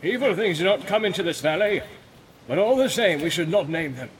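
A man reading aloud, voicing an old male wizard who speaks solemnly and low in volume. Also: expressive, fairly quick, a little hiss or hum. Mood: grave. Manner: solemn, hushed, warning.

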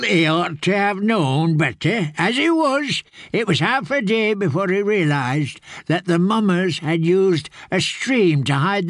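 A man voicing a kindly, courteous old man in rags who tends wounds, in a slow, soothing storybook reading. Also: high voice, no echo, clean studio sound, voice pushed up, full character voice. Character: kindly old ragged man, gentle healer.

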